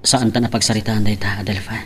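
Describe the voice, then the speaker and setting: A man's voice: lowish voice, fairly even intonation, quick pace, lightly acted. A man acting a flustered, deferential office manager in an Ilocano radio drama. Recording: faint background noise.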